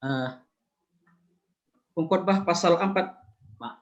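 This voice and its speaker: A man's voice: high-pitched, takes it slowly, lo-fi sound. A man from Karo asking earnest questions at a seminar, repeating his points with hesitant fillers. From Karo, North Sumatra.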